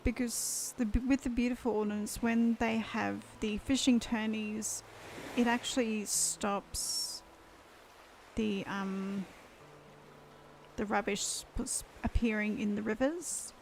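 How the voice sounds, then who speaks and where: A woman's voice: on the high side, fairly even intonation, takes it slowly, a little mellow, a little hiss or hum. A woman chatting casually on a game live stream, losing her voice and rambling as she thinks aloud.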